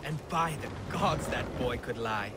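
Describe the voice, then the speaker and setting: An actor playing a boastful, earthy Viking crewman spinning teasing yarns with dramatic pauses in a video game. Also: medium pitch, lively intonation, lightly acted. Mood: amused storytelling. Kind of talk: leisurely storytelling in a video game. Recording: noisy boat and water ambience.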